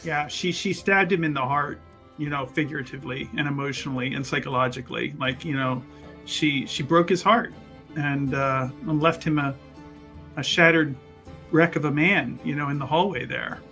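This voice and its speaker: A man with a bright, forward voice, pitched a little high, chats about a roleplaying game. He is emphatic and likes to elaborate, with light music underneath. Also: moderate pace. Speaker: tabletop game player. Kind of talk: casual chat, adding colour to a game recap.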